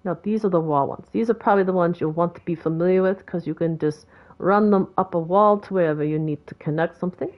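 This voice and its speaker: A woman's voice: lowish voice, quick pace, lo-fi sound. Chatty woman with a Boston accent. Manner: chatty and rambling, talks to objects in the game. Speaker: game video creator. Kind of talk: casual gameplay tutorial commentary.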